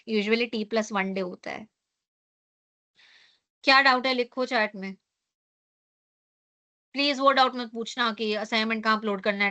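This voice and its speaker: A young woman teaching an online class, hoarse from losing her voice, who explains with rhetorical questions and a frequent tag question. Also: fairly high pitch, sharp tone.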